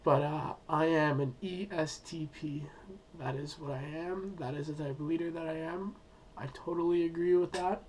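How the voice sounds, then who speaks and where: A young man who is sick and losing his voice, giving an unscripted school presentation with many pauses and fillers. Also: fairly high pitch, fairly even intonation, darkish tone, a little hiss or hum. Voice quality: hoarse. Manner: hesitant, many ums and uhs, thinking aloud. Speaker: student presenting a school project.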